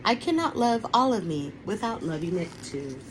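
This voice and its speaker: A woman voicing a loving, playful mother speaking to her small son in a storybook, in an affectionate, expressive way. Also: medium pitch, normal speed, close to an everyday voice. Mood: proud, assured. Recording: clearly noisy online webinar recording.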